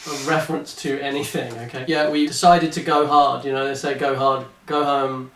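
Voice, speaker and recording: A man's voice: medium pitch, little pitch movement, brisk, a bit bright. A man co-hosting a comedy show, talking with tongue-in-cheek, mock-grandiose salesmanship. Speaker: co-host presenting a comedy show. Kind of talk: joking promotional chat and mock-serious blurb reading. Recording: roomy echo, distant mic, faint noise.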